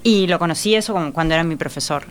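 A woman in her thirties from Uruguay, with a Uruguayan accent softened by years in Spain, answering interview questions thoughtfully with frequent pauses and fillers. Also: low-pitched. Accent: Uruguayan Spanish mixed with Spain. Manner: thoughtful, hesitant, many fillers. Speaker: writer, interview guest. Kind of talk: conversational interview.

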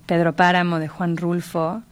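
A middle-aged woman with a Mexican accent and a somewhat low voice. She talks in a reflective, literary way, choosing her phrases thoughtfully and expressively, as a guest in conversation. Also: flat delivery, moderate pace. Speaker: interviewee, writer.

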